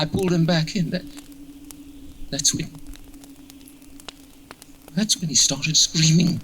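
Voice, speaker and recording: An actor playing a shaken young man lost in the wilderness, telling things haltingly in an audio horror drama. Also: a little high, sharp tone, a little hiss or hum, rough recording. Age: young adult. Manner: halting, stammering, haunted.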